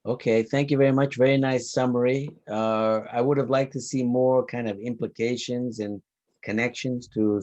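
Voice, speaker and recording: A man whose first language is Turkish, giving classroom feedback and lecturing in a relaxed way, thinking aloud with many fillers. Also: medium pitch, no room sound, highs cut off. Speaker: instructor.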